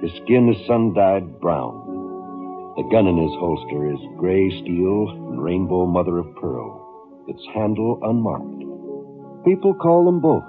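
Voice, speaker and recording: A man's voice: low voice, sing-song, slow pace, over music. An American man announcing for a radio network in a formal, declamatory 1950s scripted style. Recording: narrow-band 1950s radio broadcast recording.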